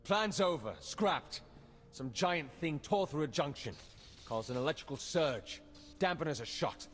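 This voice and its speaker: An actor playing an earnest, troubled intelligence agent in a science fiction game cutscene. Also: male voice, a little high, expressive, a little slow. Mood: urgent. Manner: earnest, serious. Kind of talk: video game cutscene acting. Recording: long reverb, music underneath.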